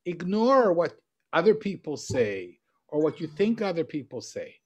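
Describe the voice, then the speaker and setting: A man's voice: on the high side, very animated, normal speed, a little mellow, breathy edge, highs cut off. Teasing middle-aged male host. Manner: teasing and encouraging, gestures while speaking. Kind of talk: casual video call interview. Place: home room with bookshelves. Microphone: video call webcam.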